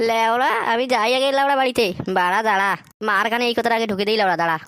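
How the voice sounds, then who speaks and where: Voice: mid-range voice, racing, a bit bright, smooth, dry recording, clean studio sound, close to an everyday voice. A performer voicing a cheeky, nervous tattletale son in an amateur comic cartoon, stammering and cursing. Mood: scandalised, sneaky. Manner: stammering, foul-mouthed. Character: cheeky tattletale son, nervous around his scolding mother.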